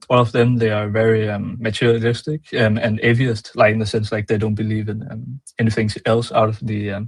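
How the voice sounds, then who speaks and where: A man's voice: fairly low pitch, husky, dry recording. A man from Denmark calling in to a show, speaking hesitantly with lots of fillers as he searches for words. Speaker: caller. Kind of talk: casual call-in conversation.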